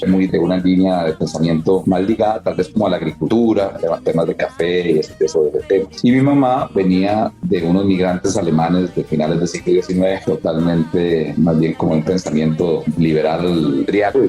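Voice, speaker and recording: Middle-aged Costa Rican man, deep and warm-toned, reflective guest who thinks aloud. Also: moderate pace, few pauses, faint music behind. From Costa Rica. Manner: thoughtful, frequent tag questions and restarts. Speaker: podcast guest, company founder. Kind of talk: reflective conversational explanation.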